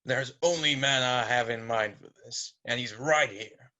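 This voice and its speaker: Bright, chummy old screenwriter, played by a man. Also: mid-range voice, unhurried. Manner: chummy, folksy. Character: friendly elderly screenwriter greeting an old pal. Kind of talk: amateur script table read.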